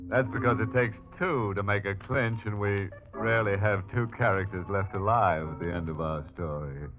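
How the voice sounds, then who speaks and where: A sardonic, macabre host of an old radio horror series, played by a man, speaking fairly low with a wry, mock-folksy manner. The recording is very muffled, with music clearly playing behind. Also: moderate pace, lightly acted. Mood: wry, amused. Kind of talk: 1940s radio horror hosting.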